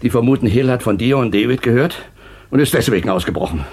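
A man's voice: medium pitch, quick pace, a little mellow, slight background noise, lightly acted. An actor playing an evasive, irritable businessman in a German radio play, brusque and defensive in manner. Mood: worried.

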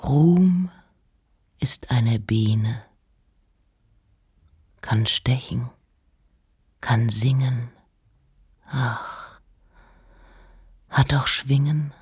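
Reclusive woman poet, low register, very unhurried, wry, pausing between short phrases, literary radio drama. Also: darkish tone, husky, heavily acted. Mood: hushed, reflective. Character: Emily Dickinson, reclusive, wry woman poet writing letters. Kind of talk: literary radio reading of poems and letters.

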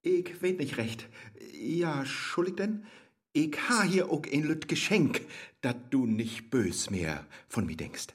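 An actor playing a polite, bashful suitor who flatters slyly in rhymed radio play dialogue, with a Low German accent and a husky voice. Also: male voice, takes it slowly, full character voice. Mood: sheepish, apologetic. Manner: courting, apologetic, flattering.

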